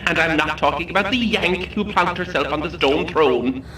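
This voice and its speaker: An actor playing a sardonic, menacing coach tour guide in an audio horror comedy, taunting like a showman with dramatic pauses. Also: fairly high pitch, bright, forceful tone, echoey room, distant mic, a little hiss or hum. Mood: sardonic menace.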